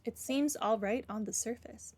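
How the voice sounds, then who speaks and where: A woman's voice: fairly high pitch, moderate pace, a little mellow. A woman doing let's play commentary and reading game lines aloud in a dry, joking manner.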